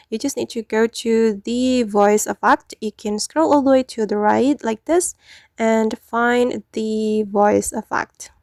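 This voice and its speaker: A woman hosts a casual how-to tutorial in a fairly high voice with plenty of vocal fry. She talks the viewer through each step informally. The recording is dry and close, with very little room sound. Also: a little mellow, no room sound. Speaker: tutorial host.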